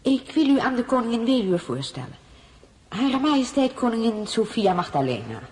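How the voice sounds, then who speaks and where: A woman's voice: on the high side, sing-song, moderate pace, darkish tone, slight background noise, low-quality recording. An actress in a radio drama playing a formal, gracious queen with a stately manner. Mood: stately, courteous.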